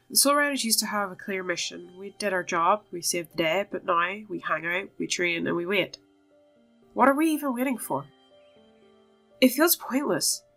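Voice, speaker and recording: A woman's voice: mid-range voice, fairly bright tone, poor audio. Earnest young horse-riding heroine read by a woman.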